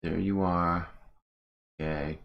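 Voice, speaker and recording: Deep, gravelly, slow-talking man streaming. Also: fairly even intonation, lo-fi sound. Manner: informal, sweary, muttering to himself while working. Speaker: game modder and streamer. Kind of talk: casual livestream commentary while working.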